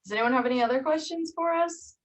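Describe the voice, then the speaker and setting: A woman's voice: sits high, quick pace. A woman chatting in an encouraging, informal way as co-host of an online team meeting. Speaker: real estate trainer co-hosting a meeting.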